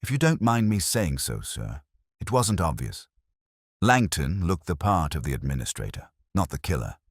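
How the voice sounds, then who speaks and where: A man acts out an eager police sergeant in a detective story, respectful toward his superior. The voice is low and husky, with lively, swooping intonation and a plain-spoken keenness. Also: normal speed, dry recording, clean studio sound, heavily acted. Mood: hesitant.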